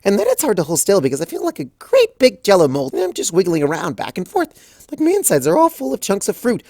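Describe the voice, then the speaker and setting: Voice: medium pitch, wildly swooping pitch, fast, darkish tone, no echo, clean studio sound, pitched above the performer's own voice. A reader voicing a hyper, giddy young female pony in a storybook reading, rambling and sing-song. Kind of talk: audiobook fanfic reading.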